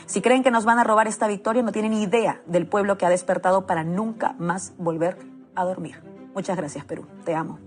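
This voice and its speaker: Peruvian woman giving a fiery speech, repeats her lines for punch, soft music under it. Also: medium pitch, steady tone, a little fast. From Peru. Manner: fervent, declamatory, with rhetorical repetition. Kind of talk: impassioned political speech.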